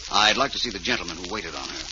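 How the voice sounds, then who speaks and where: A man's voice: low-pitched, expressive, fast. A man playing a polite, shrewd private detective in an old-time radio mystery, polished and pointed in his questioning. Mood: brisk, assured. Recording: muffled, noisy old radio recording.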